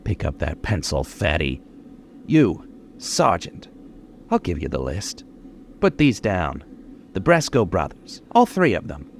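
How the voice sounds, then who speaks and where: A man's voice: low voice, wildly swooping pitch, a little hiss or hum, exaggerated voice. A man playing a boastful, menacing young killer in an old-time radio crime drama, dwelling on his words. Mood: gloating. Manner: menacing, gloating, dwelling slowly on details. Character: boastful, unhinged young male killer holding police at gunpoint.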